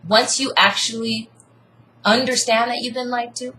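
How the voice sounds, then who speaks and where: A woman's voice: a little high, fairly even intonation, normal speed, pushed and bright. A woman in a casual debate, talking earnestly and insistently, almost preaching. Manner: earnest, insistent, preachy about seeking truth. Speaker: co-host debating. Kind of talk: casual argument. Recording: echoey room, faint hiss.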